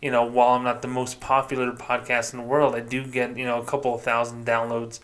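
A male podcast host talking on his own into the mic, casual and loose, with the odd curse word. His voice sits at an ordinary pitch and stays flat and monotone, with little rise or fall. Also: fast talker. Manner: monotone, self-described; casual, swears freely. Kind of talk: solo podcast monologue.

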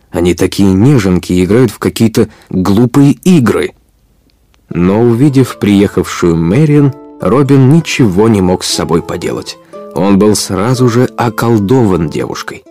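A male narrator tells an adventure story for a radio play. His voice is on the low side, expressive and literary, with music underneath. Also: no room sound.

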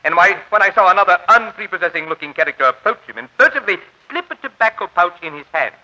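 Pompous, high-voiced gentleman crusader. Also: animated, fast, dull audio, full character voice. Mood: lofty, self-important. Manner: formal, florid, grandiose diction. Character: pompous eccentric self-styled gentleman crusader against wrongs. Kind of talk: 1940s radio drama acting.

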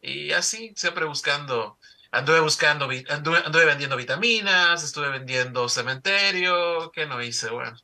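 A man's voice: a little high, moderate pace, bright, forceful tone. A middle-aged man from El Salvador with a Salvadoran accent, telling his story in an interview with many fillers and restarts. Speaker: newspaper founder and CEO.

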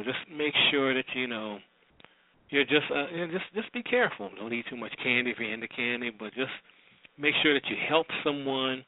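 A man's voice: medium pitch, no echo. Phone-line American man hosting casually. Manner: rambling, conversational. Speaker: radio show host. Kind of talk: casual unscripted show sign-off. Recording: roomless, dry, tinny phone-like line.

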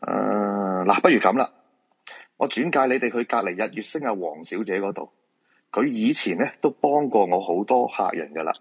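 A man's voice: fairly low pitch, lively intonation, moderate pace. A man playing a polite, patient feng shui master in a radio drama, explaining things reassuringly with a Hong Kong Cantonese accent. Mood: calm, reassuring.

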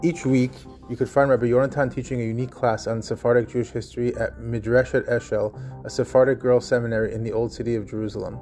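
A man hosting a podcast, reading a formal, measured introduction of his guest. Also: mid-range voice, level tone, darkish tone. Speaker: podcast host. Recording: faint guitar music behind. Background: faint guitar music.

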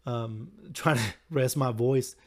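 A young man with a hoarse, recovering voice, hosting a solo podcast in a rambling, halting way with frequent chuckles. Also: mid-range voice, a little mellow. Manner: chuckles often. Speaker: podcast host. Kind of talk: casual solo podcast talk.

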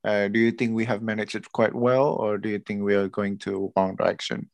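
Low-voiced interviewer from Indonesia, dry sound. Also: male voice, little pitch movement, creaky, no echo. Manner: measured, frames questions with fillers. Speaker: interviewer, host. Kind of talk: interview questions.

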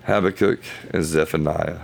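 A man hosting a casual Bible study podcast, thinking aloud in loose, halting phrases, his voice hoarse as if he were losing it. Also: rumbling low, steady tone. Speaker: podcast host.

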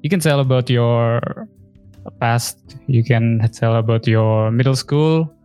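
Very deep-voiced woman host. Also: dark tone, clean tone, soft background music. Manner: eager, quick interjections and affirmations. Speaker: podcast host. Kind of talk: casual interview chat.

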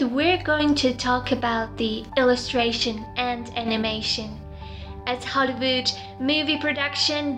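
Woman, podcast host, measured, welcoming, scripted intro, faint music behind. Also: a little high, a bit bright. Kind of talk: scripted intro and interview questions.

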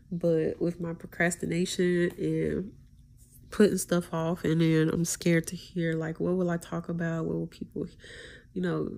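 A woman hosting her own podcast, talking in a hesitant, preachy way about faith, with many pauses and restarts and a deep voice. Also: gravelly, a little mellow, a little hiss or hum. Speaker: podcast host. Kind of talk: casual spiritual monologue.

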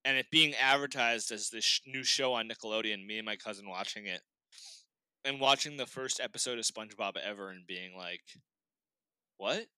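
A young man from Boston, a podcast guest in casual chat, animated and quick to laugh. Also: on the low side, smooth, no room sound, low-quality recording. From Boston, Massachusetts. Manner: animated storyteller, laughs often.

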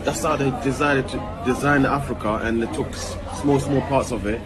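Animated Ivorian man with crowd behind. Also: mid-range voice, moderate pace. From Ivory Coast. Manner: animated, repetitive, often asks "you know what I'm saying". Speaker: guest designer. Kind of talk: casual conversation.

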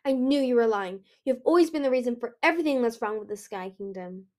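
Lady doing an angry dragon queen for a fantasy story, high pitched, defiant, spitting words out. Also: lively intonation, fast talker, natural voice. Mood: shouting accusations. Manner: shouting, choked, spitting out words.

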